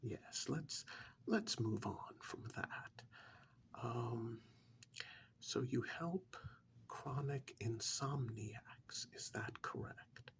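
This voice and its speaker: A man playing a polite, flustered, earnest tax accountant in a soft-spoken ASMR role-play, hesitant, apologetic and often repeating himself in a whispery voice. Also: mid-range voice, drawn-out words, gravelly. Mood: polite, attentive.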